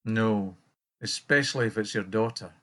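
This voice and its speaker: A man's voice: lowish voice, normal speed, heavy background noise, close to an everyday voice. A male actor playing a reserved, dry-witted helpline counsellor in a radio play, wry and sparing with words. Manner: laconic, wry.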